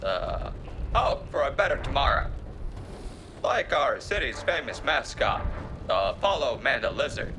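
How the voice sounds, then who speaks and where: A man playing a bumbling, evasive city politician who delivers a speech in a pompous, halting, awkwardly oratorical way in an audio drama. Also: mid-range voice, noisy background.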